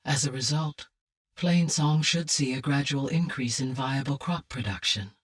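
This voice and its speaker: A woman voicing a calm, caring, highly intelligent female artificial intelligence in a video game, with formal, precise, measured phrasing and a low, husky voice. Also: far from the mic. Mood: composed, measured. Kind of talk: measured, scripted game dialogue.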